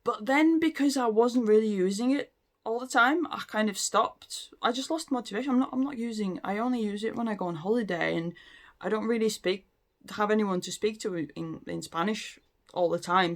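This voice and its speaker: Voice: medium pitch, normal speed. Vlogger, late 30s, lots of ums and restarts, chatty and unscripted. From Latvia. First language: Latvian. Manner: hesitant, many ums and restarts. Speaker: language learning vlogger. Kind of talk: casual talk to camera.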